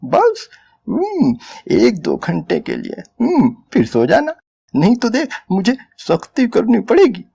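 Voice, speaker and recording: A coercive pimp, acted out by a male storyteller, swings between harsh orders and oily cajoling. The pitch leaps around in a lively way, with grunted hums punctuating the demands of this dramatic story character. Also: slow, vocal fry, exaggerated voice. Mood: coaxing, pressing. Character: coercive male pimp, wheedling then threatening. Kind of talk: dramatic Urdu short-story reading.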